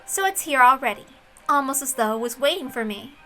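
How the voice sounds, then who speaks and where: Smug young female sleuth, voiced by a woman, high-pitched, scornful and falsely courteous, music underneath. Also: expressive, a little fast, a bit bright. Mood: brisk, cool.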